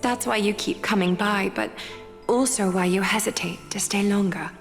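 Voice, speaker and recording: A woman voicing a serene, caring noblewoman seamstress and demigod in a video game, speaking in a measured, tender, formal manner. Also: mid-range voice, natural voice. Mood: tender, assured. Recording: long hall reverb over music.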